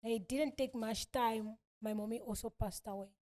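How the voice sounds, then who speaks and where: A woman in her thirties speaks with a Southern African accent in a fairly high, very mellow voice. She tells personal stories as a podcast guest and repeats phrases for emphasis. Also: steady tone, normal speed. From born in South Africa, grew up in Malawi. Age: 30s. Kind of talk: personal storytelling in an interview.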